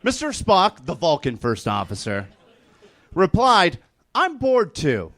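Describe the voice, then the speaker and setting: A man giving a comedic dramatic reading of fan fiction with halting, over-formal mock gravity. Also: high voice, wildly swooping pitch, fairly bright tone. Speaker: comedic reader on a stage show.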